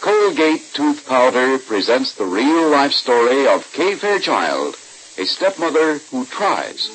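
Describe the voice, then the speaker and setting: A man's voice: mid-range voice, sing-song, takes it slowly, a bit bright, low-quality recording. A man as a 1940s radio announcer, reading scripted narration and ads in a polished, emphatic, selling manner. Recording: hissy old-time radio recording.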